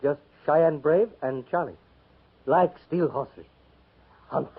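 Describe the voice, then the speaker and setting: A grown Crow scout in a 1950s radio play, his voice husky and a little breathy, his speech clipped and broken as he refers to himself by name. The sound is thin and tinny, like an old broadcast heard over a narrow line. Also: a little high, slow pace, exaggerated voice. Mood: plain. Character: Crow Indian scout, grown man, wry and proud. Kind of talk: 1950s radio drama acting.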